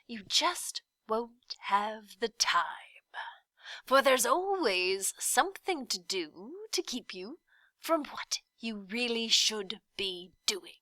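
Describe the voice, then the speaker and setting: Woman voicing a slow, sly, villainous male demon. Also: a little high, no room sound, exaggerated voice. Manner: drawn-out, snarling, slipping into soft deceitful whispering. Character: villainous faceless male demon of petty tasks, sly and menacing.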